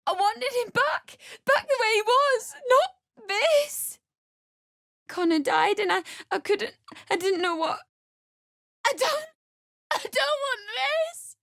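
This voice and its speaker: A woman's voice: very high-pitched, sing-song, slow, gravelly, fairly bright tone, dry recording, studio quality, exaggerated voice. An actress playing a desperate, bitter young widowed mother-to-be in a video game, with a British accent and an emotional, pleading delivery. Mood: tearful, desperate. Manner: emotional, tearful, pleading, prone to snapping. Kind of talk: video game character acting.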